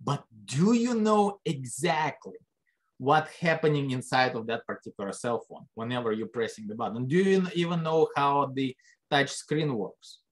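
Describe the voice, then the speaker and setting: A man giving a biology lecture, talking conversationally and putting rhetorical questions to the class. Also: normal speed. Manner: lively pitch jumps for emphasis. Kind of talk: recorded classroom lecture over slides.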